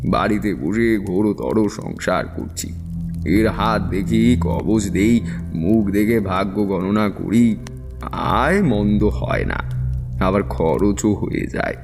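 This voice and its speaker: An actor playing a seasoned, middle-aged tantric astrologer, reminiscing in a creaky, reflective way as in a dramatised audiobook. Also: male voice, fairly low pitch, slow, in a large hall, music in the background. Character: Taranath, seasoned Bengali tantric astrologer, easygoing storyteller.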